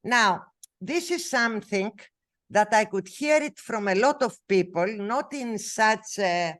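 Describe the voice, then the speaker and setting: Greek-accented female scholar, non-native English speaker; slow, hesitant lecture delivery, animated intonation. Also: mid-range voice. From Greece. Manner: halting, many fillers and self-corrections. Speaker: researcher giving a talk. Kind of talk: academic lecture.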